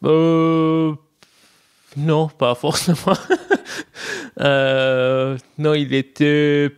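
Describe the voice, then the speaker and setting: A man's voice: on the high side, little pitch movement, drawn-out words, clear, resonant tone. A man from Iran, a guest telling his story in French, hesitant, with many restarts and fillers. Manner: hesitant, many restarts and "euh", mixes in English words. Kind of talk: personal testimony in conversation.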